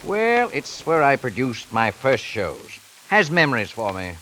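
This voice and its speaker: An actor playing a reserved theater producer who speaks in a measured, hesitant, polished way, in 1940s radio acting. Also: male voice, mid-range voice, huge pitch swings, noisy background. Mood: guarded. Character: reserved older male theater producer, evasive. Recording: vintage 1940s radio broadcast with music.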